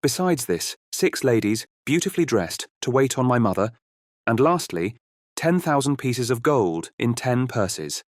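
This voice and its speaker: A man's voice: medium pitch, sing-song, measured pace, dry recording, studio quality. A man acting a bold, confident young fairy-tale hero in a storybook audiobook, with a courtly, commanding delivery.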